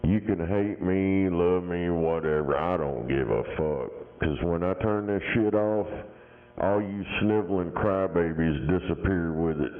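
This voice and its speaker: Deep-voiced man over a tinny radio. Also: measured pace. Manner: crude, combative, mocking. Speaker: CB radio talker. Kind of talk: crude radio banter. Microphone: radio handset.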